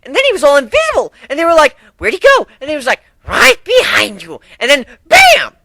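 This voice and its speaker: A man voicing a meek, excitable little girl dragon in a childlike way for a story reading. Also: very high-pitched, fairly quick, gravelly, no room sound, pitched above the performer's own voice, full character voice. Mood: excited.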